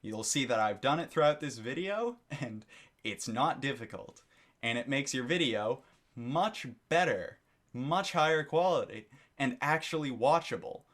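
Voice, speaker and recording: A man's voice: medium pitch, lively intonation, a little slow, a bit bright. A man giving a critical commentary monologue for his video channel, lecturing and disapproving, often repeating his phrases. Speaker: YouTube commentator.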